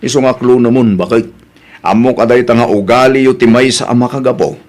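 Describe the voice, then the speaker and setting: Exasperated husband, played by a man, Ilocano accent, medium pitch, blunt and reproachful, domestic drama. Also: fairly quick, a little mellow, lightly acted. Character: exasperated husband, blunt and chiding his wife. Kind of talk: Ilocano comedy drama dialogue.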